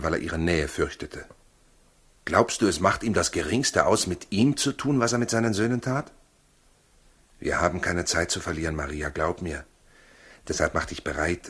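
A protective, determined husband in a 1960s radio play. His voice is deep and rough-grained. He speaks gravely, in a measured, urging way. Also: a bit bright. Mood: urgent, firm. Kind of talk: 1960s German radio drama acting.

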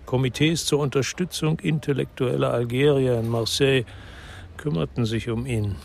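A world-weary former policeman, played by a male actor tells his own story in a crime radio drama. His voice sits at a medium male pitch. The delivery is measured, reflective and restrained, with a brooding undertone. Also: steady tone, vocal fry, a little mellow, a little hiss or hum, close to an everyday voice. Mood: matter-of-fact, wary. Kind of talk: literary crime drama narration and dialogue.

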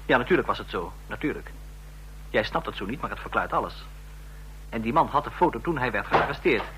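A man's voice: mid-range voice, lively intonation, fairly quick, fairly bright tone, lightly acted. A man acting in a Dutch radio play as an inquisitive, needling double agent in an office. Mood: insistent. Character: prying, insinuating office underling and double agent. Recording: noisy, humming old radio recording.

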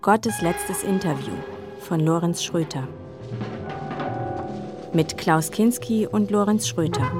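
Slow female credits announcer over loud music. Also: lowish voice. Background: loud orchestral music.

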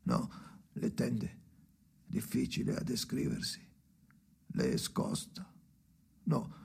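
A man playing a haunted, brooding storyteller in an experimental radio drama, speaking in halting, repeated fragments with a creaky voice that often drops to whispers. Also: medium pitch, dark tone, exaggerated voice. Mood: bleak, tense. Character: haunted brooding man narrating memories alone by the sea.

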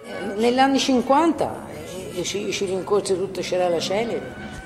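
Elderly female witness, colloquial halting reminiscence, echoey space, prominent music behind. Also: mid-range voice, sing-song, vocal fry. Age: older. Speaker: witness recalling the 1950s. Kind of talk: informal oral history. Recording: roomy recording with loud music behind.

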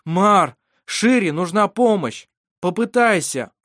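A reader doing a high, frantic male companion. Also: lively intonation, unhurried, no echo, studio quality. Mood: urgent pleading. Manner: exclaiming, shouting in despair. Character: desperate, excitable male companion.

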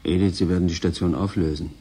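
An actor playing a resigned, doubting station worker in a radio drama, subdued and questioning. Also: male voice, low-pitched, fairly even intonation, fast, dark tone, breathy edge. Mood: resigned, searching.

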